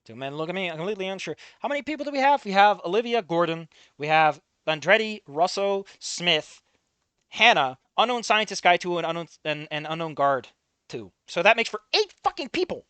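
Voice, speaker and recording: A man's voice: sits high, very animated, a little fast, fairly bright tone, clear, resonant tone, no echo. A man reading fan fiction aloud, breaking off to swear and comment on it.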